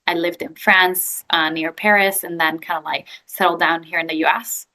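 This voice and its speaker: A young woman from Italy speaks with a clear Italian accent. Her voice sits a little low but has a bright, forward edge. She talks in a relaxed, chatty way, filling pauses with ums and likes. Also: poor audio. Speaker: guest, growth equity investor. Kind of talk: casual interview answers.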